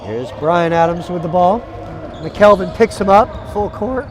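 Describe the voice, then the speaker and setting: A hoarse man calling a live basketball game play by play, energetic and chatty, climbing into excited calls. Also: sits high, unhurried, background noise. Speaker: play-by-play commentator. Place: arena broadcast position.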